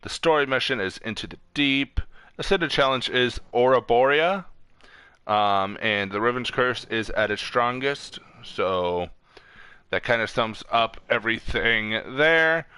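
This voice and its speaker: A man's voice: mid-range voice, unhurried, bright, forceful tone, clean tone, no echo. A man hosting a casual gaming stream, reading off lists informally, stumbling over words and correcting himself. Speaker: streamer host.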